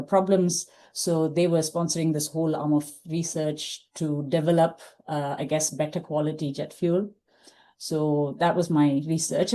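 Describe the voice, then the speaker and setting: Low, mellow-voiced woman telling her story. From India. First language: Tamil. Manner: thoughtful, many fillers and restarts. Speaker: podcast guest. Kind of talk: reflective interview storytelling.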